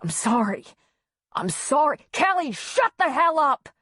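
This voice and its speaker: A man's voice: high voice, lively intonation, very slow, a little mellow, slightly rough, exaggerated voice. A woman narrator voicing a violent, hot-tempered husband who barks and bellows his commands in an audiobook story.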